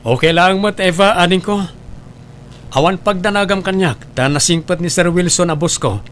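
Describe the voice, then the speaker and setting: A man's voice: high voice, normal speed, fairly bright tone, slight background noise. Man acting caring big brother, lighter male voice, Ilocano accent. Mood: reassuring. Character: caring, hardworking older brother, a driver. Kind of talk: Ilocano radio-style drama acting.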